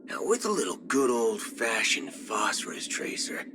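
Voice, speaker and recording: A swaggering cyborg outlaw from a video game, speaking in a lowish voice with a Southern American cowboy drawl. His pitch swings widely as he talks in a cocky, folksy way. Music plays clearly behind him. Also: sing-song, a little slow. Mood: wry, folksy. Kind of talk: video game character voice lines. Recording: music under the voice. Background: background music.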